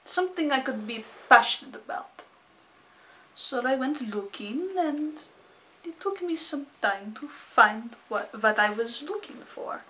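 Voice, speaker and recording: A solemn female vampire attorney, played by a woman in an improv comedy bit. She talks very slowly and theatrically, as if each word weighs a lot. Her voice sits a little high. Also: lively intonation, sharp tone, a little hiss or hum, full character voice, low-quality recording. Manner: slow, drawn-out, theatrical delivery.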